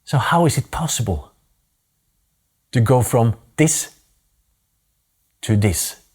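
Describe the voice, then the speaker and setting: A man's voice: mid-range voice, animated, takes it slowly, a little mellow, slightly rough. Norwegian man telling his life story. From Norway. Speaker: speaker giving a talk. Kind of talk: personal story talk.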